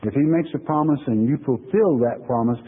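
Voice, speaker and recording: Guy preaching a laid-back bible lecture, bit husky, keeps asking "okay?", sounds like it's coming through a phone. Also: mid-range voice, phone-quality sound. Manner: folksy, conversational preaching with frequent "okay?" check-ins; reads verses slowly, then explains them plainly. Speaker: pastor. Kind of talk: sermon lecture on scripture.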